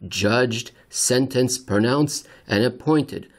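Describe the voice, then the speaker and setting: A man hosting a podcast, reading dramatically and commenting conversationally, with deliberate, theatrical phrasing and pauses for effect. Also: medium pitch. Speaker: podcast host and reader.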